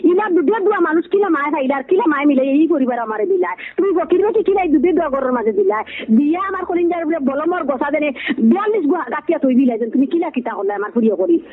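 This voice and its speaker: A middle-aged Sylheti woman airs her grievances over a tinny phone line. Her voice is high and her delivery is fast, circling back over the same complaints in a heated family conversation. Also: lively intonation. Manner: aggrieved, repetitive venting. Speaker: caller. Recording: tinny, phone-like call recording.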